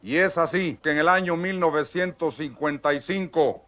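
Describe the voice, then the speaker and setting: A man's voice: on the high side, normal speed, fairly bright tone. A man with a Guatemalan accent delivers a formal, declamatory ceremonial speech as a military school speaker. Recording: dull, lo-fi archive sound.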